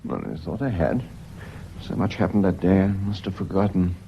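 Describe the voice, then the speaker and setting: A man acting in a radio drama as a defensive widower under suspicion, husky-voiced and prone to stammer when agitated. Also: low-pitched, moderate pace, a little hiss or hum. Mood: irritated, evasive. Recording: dull, muffled lo-fi audio.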